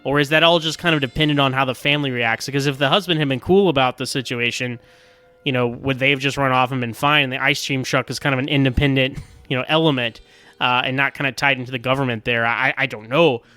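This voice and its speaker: Bright, clear-voiced man reviewing TV. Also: mid-range voice, quick pace. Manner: rambling, animated, stammering repeats of words. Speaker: podcast host. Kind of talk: casual TV episode review commentary.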